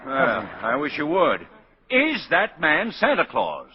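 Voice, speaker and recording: An actor playing an exasperated prosecuting attorney with indignant, formal oratory in a 1940s radio drama. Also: male voice, a little high, huge pitch swings, slow, slight background noise, full character voice. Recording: muffled vintage 1940s radio broadcast.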